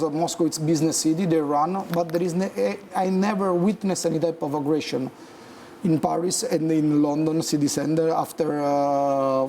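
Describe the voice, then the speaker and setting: Man, vocal fry, relaxed tone, hesitant second-language English, interview guest, faint noise. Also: on the high side. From Italy. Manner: hesitant non-native English. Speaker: talk show guest. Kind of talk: conversational interview.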